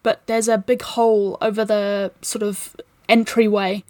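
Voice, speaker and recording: A woman's voice: medium pitch, flat delivery, measured pace, darkish tone, dry recording. A woman guesting on a casual film discussion podcast, giving appreciative, opinionated commentary.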